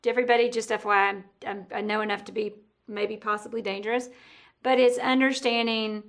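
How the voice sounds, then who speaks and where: A woman hosting a casual podcast interview, talkative and thinking out loud, often correcting herself as she goes. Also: mid-range voice, little pitch movement, brisk.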